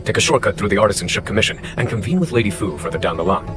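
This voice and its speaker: A man acts a languid male general with a low voice that moves freely in pitch. He is courteous, formal and quietly amused, a composed game commander speaking over music. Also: lively intonation, natural voice. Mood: composed, commanding. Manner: unhurried, courteous, wry, formal. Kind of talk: scripted video game voice acting.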